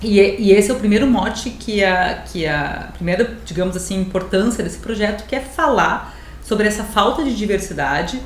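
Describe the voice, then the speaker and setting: A woman's voice: medium pitch, expressive, moderate pace, few pauses, roomy sound, slight background noise. A woman professor and co-writer explaining earnestly, in an interview. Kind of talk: interview talk.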